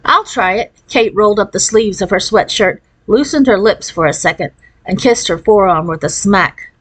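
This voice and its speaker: A woman's voice: mid-range voice, moderate pace, poor audio. A woman reading a children's novel aloud as a first-person narration. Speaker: storybook reader.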